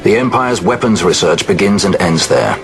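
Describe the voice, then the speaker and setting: An actor voicing a wry, self-assured rogue who speaks with dry confidence, over orchestral music. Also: male voice, sits low, moderate pace. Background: orchestral game score.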